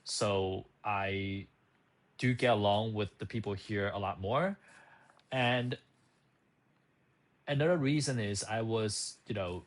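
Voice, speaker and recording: Man in his thirties, from Hong Kong, lowish voice, relaxed vlog talk, lots of fillers. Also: slow pace, long pauses. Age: 30s. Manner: loose and conversational, many fillers and restarts. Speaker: channel host. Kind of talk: talking to camera, casual personal story.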